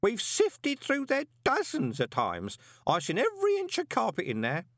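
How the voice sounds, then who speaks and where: A man voicing an excitable old male postal clerk in a high, quavering voice. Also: moderate pace, dry recording, clean studio sound, exaggerated voice. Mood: excited.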